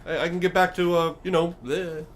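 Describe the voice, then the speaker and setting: A man voicing a rambling, awkward undead oracle creature in a tabletop game, halting and muttering asides. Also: fairly high pitch, little pitch movement, slight background noise, pitched above the performer's own voice, lightly acted. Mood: awkward, resigned.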